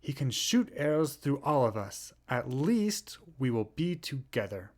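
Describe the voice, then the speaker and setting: A man's voice: mid-range voice, very animated, unhurried. A man voicing good-natured, emotional brothers from a children's storybook in a theatrical way. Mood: earnest resolve. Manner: theatrical, swooping.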